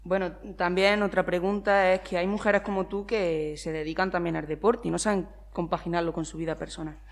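Lowish-voiced woman asking questions. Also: flat delivery, a little fast. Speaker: interviewer. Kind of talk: prepared interview questions.

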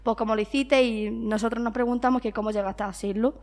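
Higher-pitched Spanish woman interviewer. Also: steady tone, fast talker, a little mellow. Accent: Spanish (Spain). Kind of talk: prepared interview questions.

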